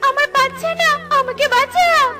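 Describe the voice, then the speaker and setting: Woman as cartoon mother eagle, arrogant, shrill, extremely high, theatrical, music behind. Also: fairly even intonation, normal speed. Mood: desperate pleading. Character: arrogant mother eagle, bossy, later desperate and pleading. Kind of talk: children's cartoon voice acting. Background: children's music.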